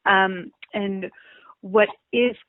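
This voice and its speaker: A woman speaks over a narrow, tinny telephone line with some noise on it. She is a company executive being interviewed, explaining things in a measured, conversational way. Her pitch sits in the middle range. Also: fairly even intonation. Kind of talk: phone interview, explanatory business talk. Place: on a telephone call.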